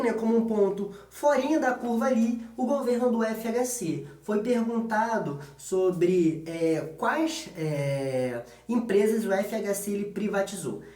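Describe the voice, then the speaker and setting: A man's voice: high-pitched, moderate pace, darkish tone. Echoey Brazilian man teaching informally. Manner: colloquial, checks in with tag questions. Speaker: history teacher. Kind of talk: informal exam-prep lesson. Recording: echoey room.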